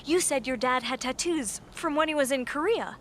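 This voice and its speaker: A woman's voice: high voice, moderate pace, slight background noise, natural voice. An actress playing a bold, playful young woman in a radio drama, direct and teasing. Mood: probing. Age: young adult. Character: bold, playful, probing young woman visiting her village relatives. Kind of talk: naturalistic radio drama acting.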